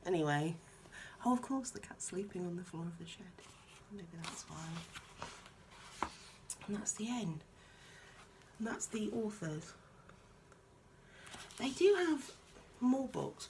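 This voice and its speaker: A woman reading a children's picture book aloud in a soft-spoken, musing way, with informal, chatty asides. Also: medium pitch, slight background noise.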